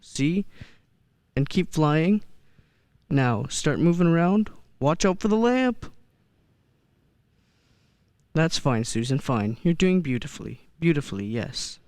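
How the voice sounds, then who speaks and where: An actor playing a kindly old Santa figure in a radio play, gentle and hesitant, often repeating words. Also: mid-range voice, sing-song, slow, long pauses, no room sound. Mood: encouraging. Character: kindly old man who believes he is Santa. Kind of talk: stage-style radio play acting.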